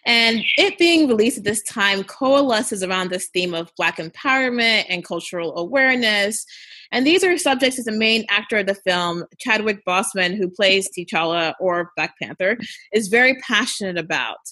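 A woman interviewer asking questions in a measured, thoughtful way with some hesitation. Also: mid-range voice, lively intonation, bright, forceful tone, dry recording.

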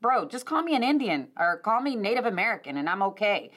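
A middle-aged woman with a medium-pitched voice hosts a podcast. She tells her story in casual, animated chat, speaking straight to her listeners. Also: fast talker. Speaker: podcast host.

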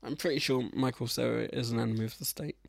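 A man's voice: mid-range voice, steady tone, quick pace, creaky, no room sound, clean studio sound. A man co-hosting a casual film podcast, laid-back and wry in his replies. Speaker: podcast co-host. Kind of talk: casual chat about films.

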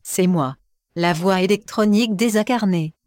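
A woman's voice: mid-range voice, a little slow, a little mellow, dry recording, clean studio sound, natural voice. A synthetic woman's voice playing a cheeky, disembodied computer voice that talks in overly familiar slang and mocks the others. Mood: mocking. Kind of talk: comic interjections in a podcast.

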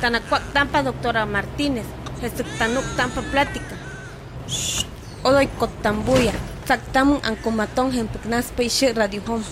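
A woman's voice: on the high side, fairly even intonation, unhurried, close to an everyday voice. A woman, a native Popoluca speaker, playing a village woman talking with neighbours in a radio drama. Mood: conversational. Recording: noisy outdoor animal and vehicle ambience.

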